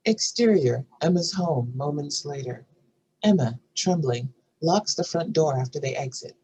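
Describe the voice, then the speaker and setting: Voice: mid-range voice, lively intonation, a little slow, darkish tone, husky, highs cut off. A narrator reading screenplay stage directions aloud as scripted narration.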